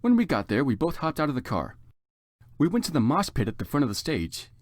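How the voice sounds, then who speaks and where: A man's voice: fairly high pitch, wildly swooping pitch, a little fast, a little mellow, dry recording, clean studio sound. A man giving a dramatic, comedic story reading as narrator, with theatrical and exaggerated emphasis.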